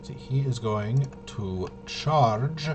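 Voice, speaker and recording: A man thinking aloud through solo tabletop game commentary, casual and halting, often muttering to himself as he works things out. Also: medium pitch, expressive, noisy background. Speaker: tabletop RPG player and presenter.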